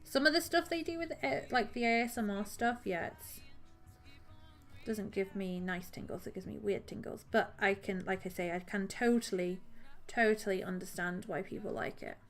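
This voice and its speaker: A woman's voice: medium pitch, moderate pace, faint music behind. A woman streamer chatting casually with her viewers while she cross-stitches, rambling and laughing often.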